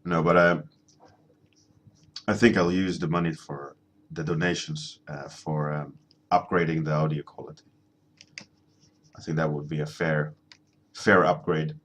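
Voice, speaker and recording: Deep-voiced man chatting while drawing. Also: fairly even intonation, a bit bright, slight background noise. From Sweden. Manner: rambling, halting, many ums and restarts, chuckling, answering chat. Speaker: artist streaming a drawing session. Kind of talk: casual livestream chat while drawing. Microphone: desk microphone.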